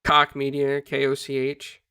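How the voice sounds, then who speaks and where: A man's voice: medium pitch, monotone, unhurried, pushed and bright, clean tone, dry recording, studio quality. A man hosting a casual gaming podcast, upbeat and greeting viewers by name. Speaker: podcast host.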